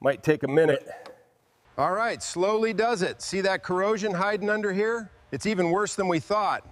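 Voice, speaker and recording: A man's voice: sits high, moderate pace, a little hiss or hum. A man giving hands-on workshop commentary as a vehicle restorer, in short instructional remarks to helpers.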